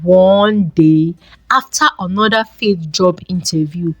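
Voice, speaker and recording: A woman's voice: fairly low pitch, measured pace, dry recording. A woman reading a scripted folktale as a storyteller.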